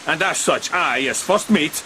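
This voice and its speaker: An actor playing a haughty, self-justifying ship's first mate, speaking formally and emphatically in a gothic horror audio drama. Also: male voice, a little high, lively intonation, unhurried, full character voice, poor audio. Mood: stern, defensive. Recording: loud storm noise behind.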